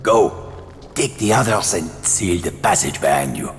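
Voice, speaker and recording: Resistance boss, stern and stoic, big echo, faint music behind, video game scene. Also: male voice, low-pitched, expressive, measured pace. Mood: grave, resigned. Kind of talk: video game cutscene acting.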